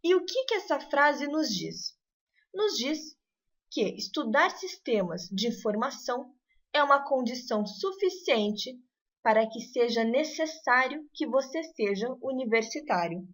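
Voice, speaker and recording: A woman teaching a recorded math lesson. Her voice sits somewhat high and moves with a lively, varied melody. She explains patiently and clearly, step by step. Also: measured pace.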